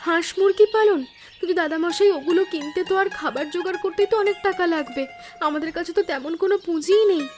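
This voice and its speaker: A woman voicing an earnest, determined young daughter-in-law in an animated village family drama. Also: very high voice, fast talker, faint music behind. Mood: worried.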